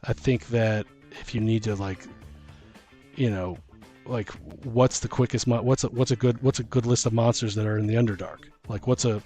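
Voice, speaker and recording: A man in a casual chat about tabletop gaming as co-host and game master, rambling and halting as he thinks aloud. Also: on the low side, steady tone, dark tone. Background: background music.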